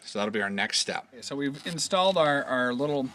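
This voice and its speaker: A man giving a casual step-by-step installation tutorial, explaining in a halting way and often trailing off. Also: medium pitch, expressive, pushed and bright, a little hiss or hum. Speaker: product installation instructor.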